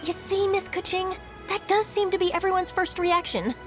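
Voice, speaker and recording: An actress voicing a cheerful young woman reporter in a video game, bright and bubbly with quick asides. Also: very high voice, fairly even intonation, faint music behind. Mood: upbeat.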